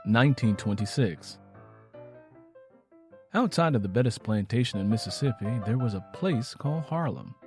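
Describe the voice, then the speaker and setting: A male narrator with a mid-pitched voice reads a scripted tale dramatically. Faint music plays behind him. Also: animated, normal speed, pauses often, a little mellow.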